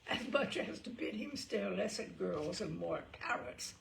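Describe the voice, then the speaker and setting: A woman's voice: on the low side, expressive, measured pace, creaky, slight background noise. A woman reading a comic story aloud as a scripted narration. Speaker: story reader.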